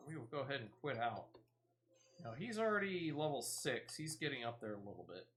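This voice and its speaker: A man's voice: mid-range voice, big pitch swings, a little fast, a bit bright, slight background noise. A man giving casual, chatty gameplay commentary, thinking aloud with a playful, mildly self-deprecating touch. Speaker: gaming streamer.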